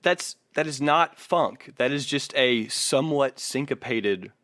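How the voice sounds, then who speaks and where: A man's voice: medium pitch, animated, a little slow, a bit bright, no echo, clean studio sound. A man hosting a podcast, talking music casually in an analytical, opinionated way with some swearing. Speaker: podcast host. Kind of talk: casual music critique chat.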